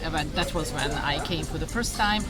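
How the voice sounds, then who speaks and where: A woman from Serbia chatting in an interview, pausing often with fillers and restarts. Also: low voice, over loud music. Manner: hesitant with many fillers and restarts. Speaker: viewer interviewed while traveling. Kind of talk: casual interview chat.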